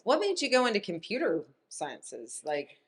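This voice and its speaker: A woman hosting a community podcast, upbeat and quick to praise her guest. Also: mid-range voice, wildly swooping pitch. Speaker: podcast host. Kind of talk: casual interview chat. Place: studio or sit-down room.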